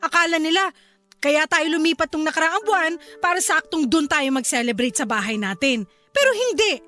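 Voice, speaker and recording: A woman's voice: high voice, lively intonation, moderate pace, bright, forceful tone, faint music behind. A woman acting a hurt young wife in a radio drama, reproachful and close to tears. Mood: tearful, reproachful. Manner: sulky, reproachful, near tears. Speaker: wife in a radio love story.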